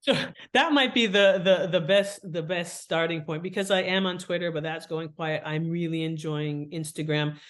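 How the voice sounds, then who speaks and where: A woman's voice: on the low side, normal speed, hardly any pauses. A woman talking casually and expressively as an interview guest, slipping into little acted-out asides as she explains. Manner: chatty, expressive, acts out imagined thoughts and asides. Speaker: podcast guest, fiction author and editor. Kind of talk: casual, animated interview talk.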